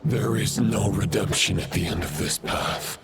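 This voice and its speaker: An actor playing a grim male warrior sworn to the Abyss, gravelly and solemn, in a game. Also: low-pitched, loud music behind the voice. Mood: defiant. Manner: slow, solemn and defiant. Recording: loud game ambience behind.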